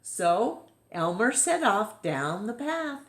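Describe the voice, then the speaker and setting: A woman's voice: mid-range voice, very animated, slow, a bit bright, echoey room. A woman narrating a children's picture book expressively as a storytime reader.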